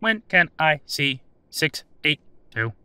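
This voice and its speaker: A man voicing an old sentient AI in an audio drama, formal and literal, with stilted, deliberate phrasing. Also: fairly high pitch, sing-song, sharp tone, clear, resonant tone, dry recording, full character voice. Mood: insistent. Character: old sentient AI computer, formal, literal, dryly attempting humor. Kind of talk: scripted audio drama interview.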